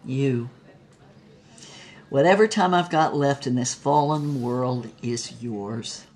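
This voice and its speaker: A woman's voice: very deep voice, slow pace, darkish tone, a little hiss or hum, heavily acted. An actress playing an irreverent, wise former Hollywood starlet in her nineties, with a wry, salty, theatrical delivery in an audio drama. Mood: playful, fond. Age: 90s, as played. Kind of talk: audio drama monologue, reflective storytelling.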